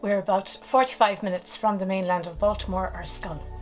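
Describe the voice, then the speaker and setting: A woman's voice: medium pitch, fairly even intonation, a little fast, soft background music. A woman describing her small island community in a video statement, in a plain, matter-of-fact way. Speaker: island resident.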